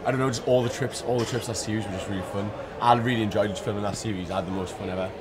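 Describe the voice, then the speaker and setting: A man's voice: fairly low pitch, fairly even intonation, very fast, background noise. A young man, rambling with many fillers as a guest. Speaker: reality TV guest. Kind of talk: casual interview. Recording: loud crowd behind.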